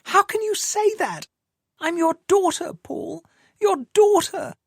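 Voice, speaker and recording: A man voicing a shrill, distraught young woman. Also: animated, slow pace, gravelly, dry recording, clean studio sound, exaggerated voice. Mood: anguished. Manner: shrill, tearful pleading. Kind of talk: audiobook dialogue.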